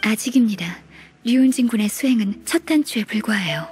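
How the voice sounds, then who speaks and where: A woman playing a polite, earnest young half-beast heroine in a video game, speaking formally and gently. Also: a little high, animated, a little fast, lightly acted. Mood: calm, earnest. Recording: music behind the voice.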